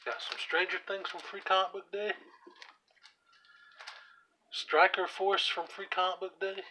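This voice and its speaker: Hoarse man, bright voice, slightly high, faint hiss behind, offhand comic collection rundown. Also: level tone, unhurried, rough recording. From US South. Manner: offhand, listing titles with asides and chuckles. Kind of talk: casual collection walkthrough. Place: indoors at home, seated on the floor by a shelf. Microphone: camera aimed at the shelf, speaker off screen.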